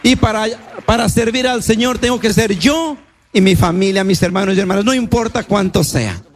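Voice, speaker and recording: High-pitched priest giving testimony. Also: male voice, normal speed. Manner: colloquial and devout, repeats phrases for emphasis. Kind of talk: personal testimony preached to a congregation.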